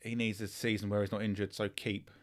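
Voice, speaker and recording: Low-voiced man talking football. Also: flat delivery, fairly quick. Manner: blunt, offhand verdicts. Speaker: podcast co-host. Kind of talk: casual sports chat.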